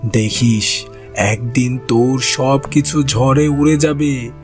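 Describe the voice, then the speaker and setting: A man's voice: mid-range voice, slow pace, faint music behind, close to an everyday voice. A man voicing a solemn, vengeful old wandering holy beggar in a fairy-tale cartoon. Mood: bitter, cursing.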